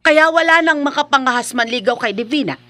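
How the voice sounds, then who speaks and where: A woman's voice: high voice, expressive, a little fast, bright, forceful tone, no room sound. A woman playing a middle-aged, superstitious village mother in a radio horror drama, speaking emphatically and insistently. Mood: stern, alarmed. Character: grieving, stern, superstitious village mother of grown son.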